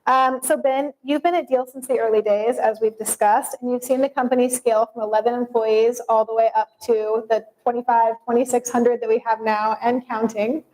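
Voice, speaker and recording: A woman is moderating a fireside chat on stage. Her voice sits a little high but has a mellow, soft tone. She is friendly as she steers the questions. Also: steady tone, moderate pace, few pauses, poor audio.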